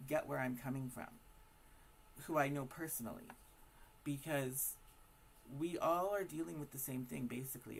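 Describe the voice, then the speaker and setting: A hoarse man with a raspy voice, giving a casual, emphatic opinion monologue to camera full of rhetorical questions. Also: on the high side, a little hiss or hum. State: hoarse from smoking and partying. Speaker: vlogger.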